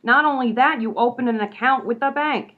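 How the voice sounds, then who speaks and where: A woman's voice: a little high, fairly quick, phone-quality sound, lightly acted. Woman playing an exasperated, scolding gangster moll. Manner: nagging, slangy old-time gangster talk. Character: exasperated, scolding tough female gangster sidekick. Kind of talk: comedy radio play acting.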